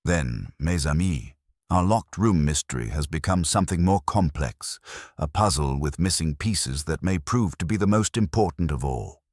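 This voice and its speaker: A man voicing a fussy, meticulous older Belgian detective, speaking in a measured, precise and formal way. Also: extremely low pitch, animated, a little mellow, dry recording, studio quality.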